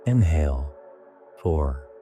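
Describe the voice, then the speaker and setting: A man narrating a scripted guided sleep meditation in a hushed, deliberate way, in short phrases. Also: rumbling low, animated, soft background music.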